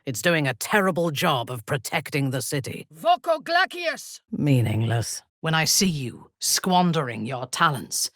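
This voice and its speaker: Ruthless female fantasy game warrior, husky, very dynamic intonation, imperious, deliberate, dry close sound. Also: low voice, very animated, a bit bright, dry recording, full character voice. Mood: commanding incantation. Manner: haughty, deliberate, theatrical delivery. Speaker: video game character voice. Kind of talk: dramatic fantasy game voice lines.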